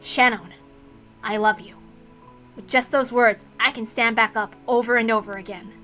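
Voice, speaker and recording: A woman's voice: on the high side, fairly even intonation, moderate pace, fairly bright tone, soft background music, natural voice. A woman voicing an earnest, stubborn young man in a story reading, speaking with resolute devotion to his beloved. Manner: resolute, declarative.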